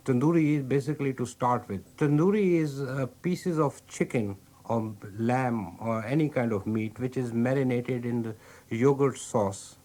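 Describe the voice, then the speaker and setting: A man's voice: medium pitch, darkish tone. A man with an Indian accent, a restaurant owner explaining things patiently, with frequent fillers. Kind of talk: explaining, casual interview.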